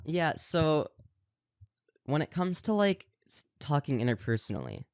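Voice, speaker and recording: A man co-hosts a casual podcast, talking in a smooth, clear voice that he pushes out to project. He rambles with many fillers and restarts. The sound is dry and close. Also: a little high, no echo. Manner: projecting voice on camera. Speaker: podcast co-host. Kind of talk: casual chat.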